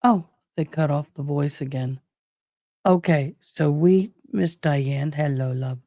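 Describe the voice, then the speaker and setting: A woman's voice: low-pitched, slow, dark tone, no echo, studio quality. A tired woman hosting a casual livestream, her voice worn out and struggling, who talks affectionately to her viewers. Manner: affectionate, calls viewers babe and love. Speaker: livestream host covering a trial.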